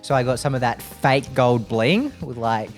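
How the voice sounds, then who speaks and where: A man improvises casually as a tabletop game player. He has a middling pitch, with music in the background. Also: expressive, normal speed. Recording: music playing under the voice.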